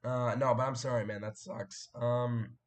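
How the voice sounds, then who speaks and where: A young man hosting a casual live stream, his voice hoarse and cracking after a sore throat, rambling and clearing his throat as he chats with viewers. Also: medium pitch, steady tone, a little slow. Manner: rambling, chatty, frequent fillers and throat clearing.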